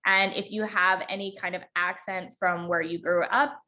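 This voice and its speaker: Woman podcast host, slight central Alberta accent, quick informal workshop delivery, lots of ums. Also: on the low side, steady tone, bright, forceful tone. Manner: fast talker, many ums. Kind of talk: informal teaching talk.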